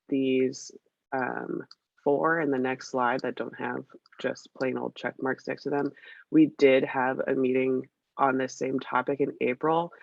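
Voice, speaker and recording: A woman with a cold talks through a work update on a video call, her voice scratchy and gravelly. She hesitates often and fills pauses as she goes. The call audio is dull and dry. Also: very deep voice, no room sound. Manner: chatty, hesitant, many fillers. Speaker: meeting presenter. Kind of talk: casual work meeting update.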